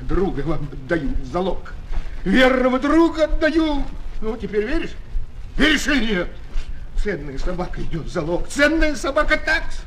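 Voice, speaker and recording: Wheedling street con man, very high voice, theatrical bluster and wails, children's radio play, faintly noisy old record. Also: sing-song, moderate pace, a bit bright. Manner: theatrical, slangy, swinging between bluster and wailing. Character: whining, wheedling male street swindler conning children. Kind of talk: 1970s Soviet children's radio play acting. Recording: faint noise, old flexi-disc record.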